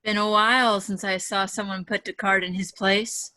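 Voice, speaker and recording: Woman playing a calm, mysterious male mentor. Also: medium pitch, normal speed, no room sound, natural voice. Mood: calm, encouraging. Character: kind-eyed, muscular mysterious man, calm mentor. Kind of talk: fan fiction table read.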